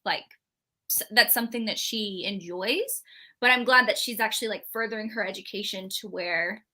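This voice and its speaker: A woman's voice: mid-range voice, moderate pace, pushed and bright. A woman co-hosting a live book discussion, talking casually and rambling with many fillers and restarts. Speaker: book club co-host.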